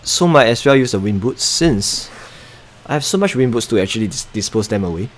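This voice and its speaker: A man's voice: on the low side, animated, a little fast, slight background noise. Young Singaporean male game streamer, Singaporean accent; casual, chatty commentary with muttered asides. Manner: chatty, muttering asides, reading chat aloud.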